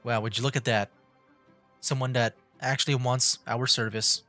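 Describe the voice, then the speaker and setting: A man's voice: mid-range voice, fairly even intonation, moderate pace, bright, forceful tone, faint music behind. A man giving casual livestream commentary on a game, with wry, easygoing asides. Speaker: streamer, gamer.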